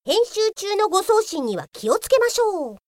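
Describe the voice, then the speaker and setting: A woman playing a strict, hot-tempered young policewoman in a comedic radio drama, officious and quick to scold. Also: very high-pitched, big pitch swings, darkish tone. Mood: stern. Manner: officious politeness snapping into scolding.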